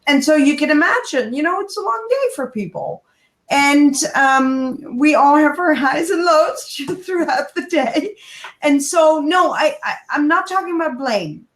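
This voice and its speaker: A woman's voice: high voice, lively intonation, measured pace, reverberant. A woman, a guest on an interview show, giving emphatic, coaching advice and addressing listeners directly. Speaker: guest, kindness speaker.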